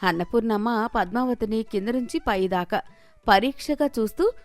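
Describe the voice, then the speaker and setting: A woman's voice: fairly high pitch, animated, normal speed, no room sound, soft background music. A woman storyteller giving a scripted narration of a moral story.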